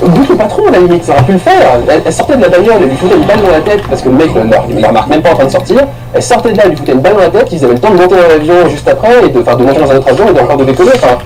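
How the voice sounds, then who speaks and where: A man's voice: on the high side, very animated, racing, hardly any pauses, low-quality recording. A man giving a casual, rambling film review, full of restarts and slang.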